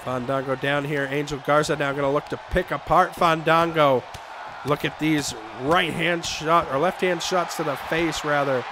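A man losing his voice, shouting excited play-by-play for a wrestling video game. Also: fairly high pitch, moderate pace. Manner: shouting excitedly over the action. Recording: game crowd noise behind voice. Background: video game arena crowd and sound effects.

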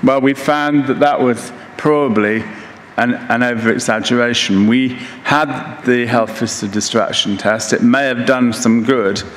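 Older guy in his 60s doing a keynote talk, kinda halting, big reverby hall, bit of noise. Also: medium pitch, fairly even intonation, fairly bright tone. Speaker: keynote speaker. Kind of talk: conference lecture. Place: large conference hall stage, indoors.

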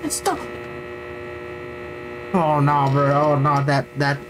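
A man's voice: fairly high pitch, takes it slowly. A man giving a casual, slangy live reaction to a scary video, pleading with the screen in short repeated phrases. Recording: noisy with mains hum.

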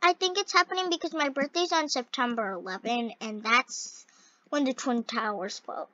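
A young female gamer with a scratchy voice, commenting on a game and reading its dialogue aloud in an excitable, halting way. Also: fairly high pitch. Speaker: gaming video host. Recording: dull, lo-fi close microphone.

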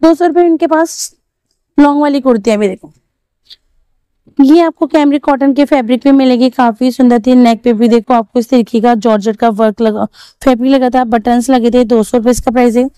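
A woman's voice: on the high side, steady tone, brisk, dark tone, smooth, dry recording, low-quality recording. A young woman vlogging at an outdoor market, chatting through prices and fabrics and asking shopkeepers. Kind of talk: walking market vlog showing clothes and prices. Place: busy outdoor street market.

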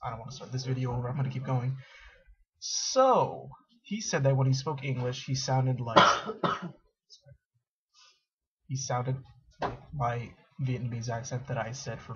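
A man's voice: medium pitch, a little slow, long pauses, muffled recording. A young man in a dim dorm room, rambling casually to his webcam with lots of fillers and self-mocking asides. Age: young adult. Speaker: vlogger. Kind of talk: casual straight-to-camera vlog. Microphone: built-in webcam mic.

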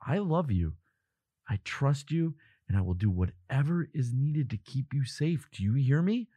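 Breathy, slow-talking devoted boyfriend played by a man. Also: medium pitch, animated, no echo. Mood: insistent, loving. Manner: halting, hushed, earnest reassurance. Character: devoted, protective young boyfriend, tender and earnest. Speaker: audio roleplay voice actor. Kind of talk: intimate boyfriend roleplay audio drama.

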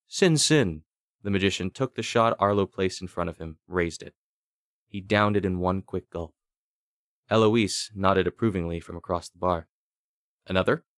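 A man narrating a scripted fantasy audiobook with deliberate, dramatic storytelling. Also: low-pitched, no room sound, clean studio sound.

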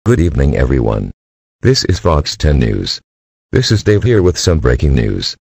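A TV news anchor with a low, dark, husky voice reading breaking news. His delivery is formal and lively in pitch, close and dry with no room sound. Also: moderate pace, no echo, heavily acted. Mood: grave. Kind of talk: mock TV news broadcast.

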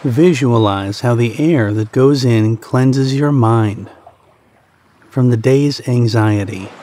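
Slow, mellow man guiding a meditation. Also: mid-range voice. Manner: soothing, deliberate, with short pauses between phrases. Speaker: meditation guide. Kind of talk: guided meditation narration.

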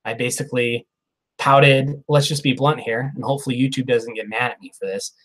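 A man's voice: medium pitch, fairly even intonation, a little fast, fairly bright tone. A man in his thirties hosting a casual live Q&A stream, reading viewer comments in a chatty, upbeat way with frequent laughs, recorded on a lapel mic in a small room. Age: 30s. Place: small room, plain wall behind. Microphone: clip-on lapel mic.

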